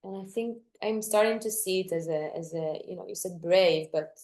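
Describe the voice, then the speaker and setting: A woman's voice: fairly low pitch, moderate pace, darkish tone. A young woman from Greece, a dancer, talking reflectively in an interview, often restarting and searching for words. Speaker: guest dancer.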